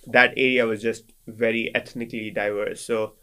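A man's voice: mid-range voice, little pitch movement, normal speed, sharp tone, smooth. A young man in his twenties from Pakistan, a native Sindhi speaker, chatting casually as a guest with lots of fillers and restarts. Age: 20s. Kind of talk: casual conversation.

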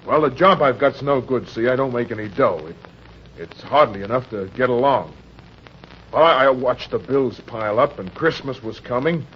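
An actor playing a frightened, down-on-his-luck husband and father in old-time radio crime drama, with an American accent, a husky voice and stammering, pleading delivery. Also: medium pitch, lively intonation, moderate pace, slight background noise, lightly acted. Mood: anxious confessing. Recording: dull, lo-fi old radio recording.

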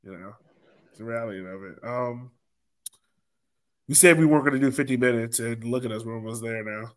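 A man's voice: mid-range voice, a little fast. Casual man hosting a sports podcast. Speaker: podcast host.

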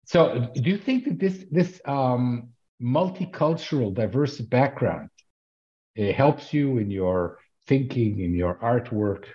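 A man hosting a conversational interview, asking questions in a halting, deliberate way with pauses. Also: mid-range voice, lively intonation, mellow, dull audio. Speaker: interviewer, show host.

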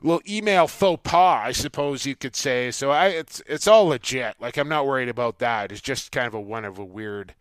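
A man's voice: mid-range voice, moderate pace, hardly any pauses, clean tone, no echo. A man hosting a sports podcast, chatting casually and colloquially with enthusiasm for the games.

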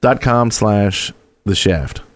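A man hosting a casual podcast, reading sponsor spots and listener mail in a relaxed way. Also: lowish voice, takes it slowly, a little hiss or hum. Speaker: podcast host.

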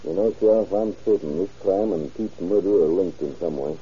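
A man's voice: low voice, normal speed, dark tone, slightly rough. A man playing a keen investigator in an old-time radio crime drama. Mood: inquisitive. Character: keen, hunch-following male investigator assisting sheriff. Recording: dull muffled old radio recording.

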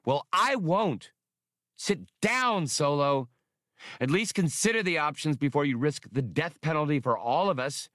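A male reader acts out a young woman in an audiobook. The pitch is raised well up, and the manner is defiant and stubborn. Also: expressive, a little slow, fairly bright tone, no room sound, studio quality. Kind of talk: audiobook character voice.

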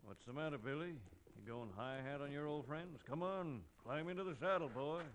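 A man's voice: a little high, moderate pace, close to an everyday voice. An actor playing a grumbling, needling outlaw henchman who talks in rustic cowboy slang in an old radio western. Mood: falsely friendly. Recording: noisy vintage radio recording.

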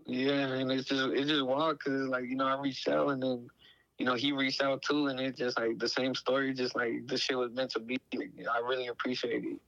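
A man's voice: mid-range voice, little pitch movement, normal speed, fairly bright tone, no echo, muffled recording. A man in his late teens, a guest speaking hesitantly with many fillers like "like" and "you know".

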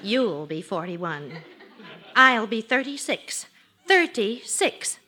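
A woman's voice: on the high side, very animated, slow pace, bright, forceful tone, exaggerated voice. An actress playing an exasperated, peppy American wife in her late thirties in a classic radio sitcom. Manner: exasperated, indignant.

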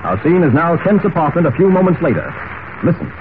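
A man's voice: a little high, sing-song, brisk. A man narrating a radio serial in a dramatic, scripted old-time announcer style. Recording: muffled, noisy old radio recording.

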